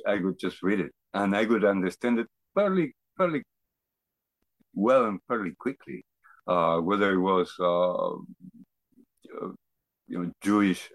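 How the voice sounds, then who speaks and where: Middle aged guy from argentina, deep voice, slow to find his words, telling his life story, no room echo. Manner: halting, reflective, searching for words. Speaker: interview guest. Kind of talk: personal testimony in conversation.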